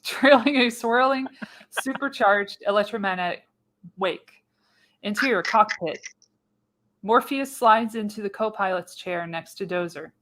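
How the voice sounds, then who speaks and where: A woman's voice: mid-range voice, measured pace, a bit bright, slight background noise. A woman reads scene directions as narrator of a radio play, in scripted narration with an occasional stumble.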